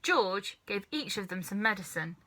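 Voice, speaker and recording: A woman reading a children's story aloud as an expressive narrator. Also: medium pitch, normal speed, a little hiss or hum. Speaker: storybook reader.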